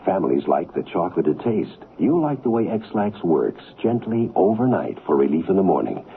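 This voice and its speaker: A man's voice: low voice, big pitch swings, moderate pace, a little hiss or hum. A man reading a scripted radio advertisement as a commercial announcer. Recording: muffled phone-like old radio.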